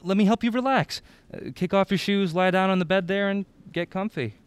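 Flirty teasing road trip guy man acting, smooth clear voice, close dry sound, radio play. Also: high voice, brisk, dry recording, close to an everyday voice. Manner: teasing, arch. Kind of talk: audio drama acting.

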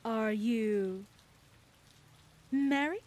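A woman's voice: fairly high pitch, extremely slow, a little mellow, no echo. An actress playing an eccentric, nosy woman who keeps a creepy motel, with a theatrical, flowery, singsong delivery in an audio drama. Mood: nosy, gushing.

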